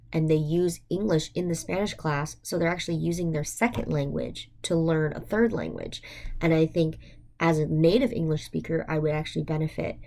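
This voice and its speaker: A woman's voice: low voice, creaky, a little mellow. A young adult woman with an American accent, talking informally and reflectively as a student, thinking aloud with frequent hesitations and "like" fillers. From United States. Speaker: university student. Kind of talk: informal reflective monologue for a class.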